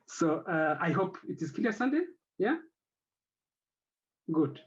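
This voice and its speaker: Lively-voiced French-speaking man on a panel. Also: a little high, muffled recording. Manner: halting, searching for words with many fillers. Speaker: head of an institute. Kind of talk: academic panel talk.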